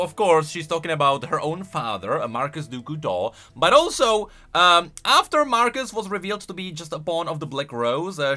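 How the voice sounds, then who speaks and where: A man's voice: a little high, pushed and bright. A man giving casual video commentary on game lore as a YouTuber, rambling along and stretching words for emphasis.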